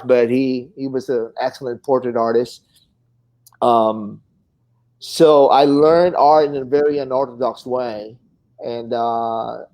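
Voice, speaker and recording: Mellow-voiced middle-aged Filipino-accented man. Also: mid-range voice. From Philippines. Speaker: guest, fine artist painter. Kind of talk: casual interview conversation, reminiscing.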